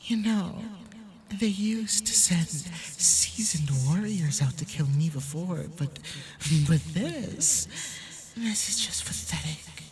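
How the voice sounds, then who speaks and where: Woman voicing a slow, menacing femboy kitsune. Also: on the low side, animated, crowd noise. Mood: scornful. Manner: slow, taunting, breathy half-whispered menace. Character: menacing femboy kitsune, sadistic forest predator mocking prey. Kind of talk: ASMR roleplay acting.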